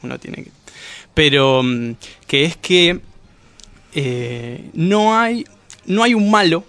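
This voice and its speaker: An Argentine man in a casual radio discussion, explaining things in a halting way, correcting himself and often checking that he is followed. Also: on the high side, animated, fairly bright tone, no room sound, soft background music. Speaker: guest discussing comics and manga.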